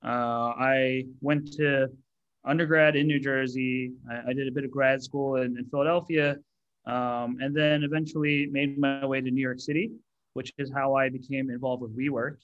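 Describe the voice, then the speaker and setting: Relaxed American man, startup CEO. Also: medium pitch, moderate pace, highs cut off. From United States. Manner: relaxed, many ums and you-knows. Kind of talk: interview answers.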